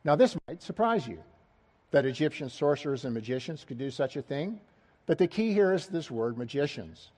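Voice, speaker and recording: A man's voice: fairly high pitch, sing-song, moderate pace, darkish tone. A middle-aged man preaching an expository sermon, asking his listeners rhetorical questions and pressing key words with rising emphasis.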